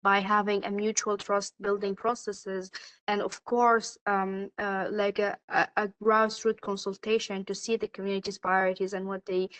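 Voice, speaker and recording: A woman's voice: medium pitch, level tone, normal speed, fairly bright tone, poor audio. A woman from Sudan, a native Arabic speaker, speaking as a youth climate activist on a panel, with many fillers and restarts. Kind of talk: panel discussion.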